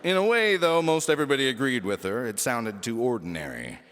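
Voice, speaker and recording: A man reading a children's story aloud as lively scripted narration. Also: mid-range voice, fairly quick. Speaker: storybook reader. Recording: echoey hall reverb with music.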